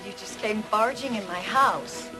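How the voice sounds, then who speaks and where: Middle-aged mother female actor: distraught, pleading, defensive, mid-pitched, crime drama with music under. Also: a little slow, close to an everyday voice, low-quality recording. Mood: upset, defensive. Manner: pleading, protesting. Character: distraught, defensive forty-year-old wife and mother.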